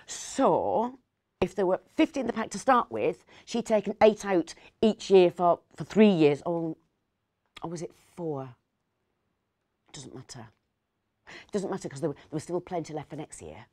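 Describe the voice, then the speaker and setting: A woman's voice: lowish voice, expressive, measured pace, long pauses, darkish tone. A middle-aged woman, playing a fussy, kindly, rambling Christmas dinner host in a storytelling monologue. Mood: musing, fretting. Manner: hesitant, digressive, self-correcting. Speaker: actor reading a story. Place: indoors, seated in an armchair.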